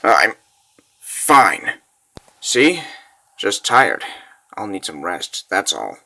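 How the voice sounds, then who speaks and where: A man's voice: deep voice, very animated, very slow, gravelly, sharp tone, pitched below the performer's own voice, exaggerated voice. A man voicing a weary, secretive warrior in a fantasy comic dub, curt and brooding. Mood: weary, evasive. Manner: curt, evasive.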